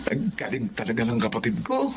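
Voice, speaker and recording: An actor playing a protective, wary older brother in a Filipino drama, with a Filipino accent. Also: male voice, medium pitch, huge pitch swings, fairly quick. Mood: admiring. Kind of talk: Filipino radio-style melodrama acting. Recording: clearly noisy background.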